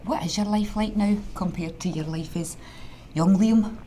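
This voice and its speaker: A woman's voice: medium pitch, moderate pace, dark tone, a little hiss or hum. A Scottish woman, a mentor in a school, talking earnestly with a former student. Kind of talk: interview conversation.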